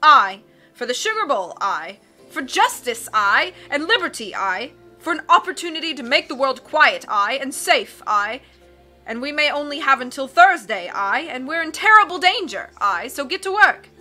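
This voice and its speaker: A woman voicing a loud, excitable male sea captain who fires off rhetorical questions and punctuates them with "aye.". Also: high voice, normal speed, soft background music. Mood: urgent.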